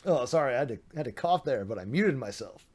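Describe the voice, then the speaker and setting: A man's voice: medium pitch, animated, quick pace, noisy background. A man streaming, reading a document aloud with sarcastic, mocking asides, his voice getting a little worn.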